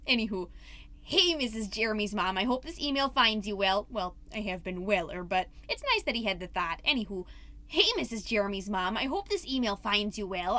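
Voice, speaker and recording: A woman's voice: medium pitch, sharp tone. A woman playing a chatty, wholesome suburban mom podcaster, doting and easily exasperated, in a scripted comedic monologue. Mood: gushing. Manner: chatty, dramatic, folksy minced oaths.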